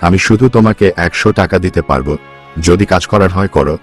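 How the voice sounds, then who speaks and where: Cartoon village headman, played by a man: low-pitched, stingy, talks down to the needy. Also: quick pace, faint music behind, natural voice. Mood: calculating, curt. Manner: curt, condescending. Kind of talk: Bengali cartoon story dialogue.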